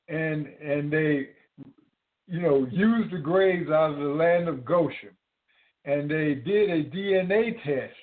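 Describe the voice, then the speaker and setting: A man preaching to his listeners, halting often with repeated words and fillers. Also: on the high side. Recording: tinny phone-like audio.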